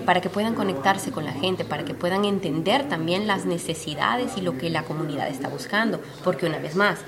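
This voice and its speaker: Honduran-born woman over fair crowd noise. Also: fairly low pitch. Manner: earnest, explanatory, steady. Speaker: publisher, guest. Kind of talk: conversational interview. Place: book fair. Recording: busy fair crowd behind. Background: crowd chatter.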